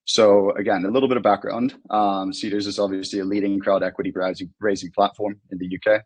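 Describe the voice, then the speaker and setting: Low-voiced man hosting a webinar. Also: level tone, quick pace, darkish tone, dry recording. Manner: loose, filler-heavy questioning. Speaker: webinar host. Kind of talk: webinar interview.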